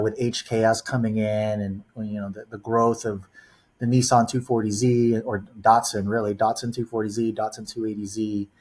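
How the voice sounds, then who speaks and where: A man in his forties tells long stories as a podcast guest. He talks in a loose, wandering way, full of "you know" and "um", at an ordinary mid pitch. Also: darkish tone. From born in the Philippines, raised in Los Angeles. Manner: rambling storyteller, heavy on "you know" and "um". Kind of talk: casual podcast interview.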